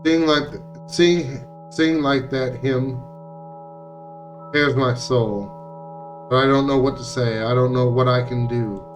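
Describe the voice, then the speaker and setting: Distant-sounding male, dramatic story read-aloud, halting delivery, music underneath. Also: mid-range voice, fairly even intonation. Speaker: streamer reading a visual novel aloud. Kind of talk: dramatic read-aloud narration of game text.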